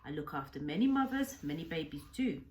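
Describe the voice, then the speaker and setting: Woman voicing an earnest old Japanese man, animated delivery. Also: low-pitched. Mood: proud, fond. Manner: halting simplified English, earnest emphatic short sentences. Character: kindly old Japanese castaway doctor telling his life.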